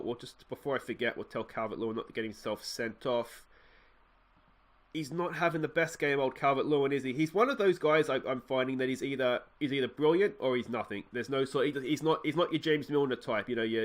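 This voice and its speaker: Nasal Australian man commentating a game. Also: medium pitch. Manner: rambling, self-correcting, excitable at goals. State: slightly nasal from a cold. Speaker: let's play host. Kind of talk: casual gameplay commentary.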